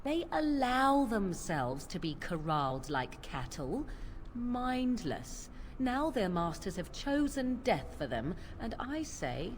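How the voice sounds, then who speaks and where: A woman's voice: mid-range voice, sing-song, slow, a little hiss or hum. An actress voicing a proud, cold young witch who speaks with haughty contempt in a fantasy game. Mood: scornful. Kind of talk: video game voice acting.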